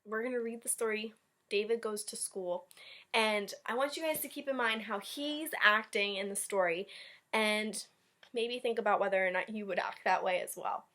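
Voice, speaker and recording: A woman's voice: medium pitch, moderate pace, fairly bright tone. A woman teacher leading a read-aloud storytime, chatting directly to young children and commenting as she goes. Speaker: elementary school teacher.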